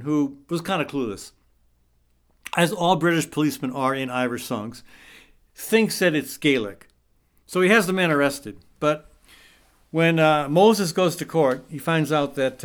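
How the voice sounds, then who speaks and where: A man talking in a relaxed, rambling way, pitched a little high for a man. He stops and restarts often and fills gaps with ums and uhs. Now and then he drops in a dry, wry aside. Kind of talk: casual spoken commentary. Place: Des Moines.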